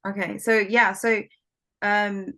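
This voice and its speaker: A woman's voice: mid-range voice, flat delivery, slow, pushed and bright. A woman whose first language is Swahili, born in Somalia, talking casually as a guest with many fillers like "like" and "um". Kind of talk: casual conversation.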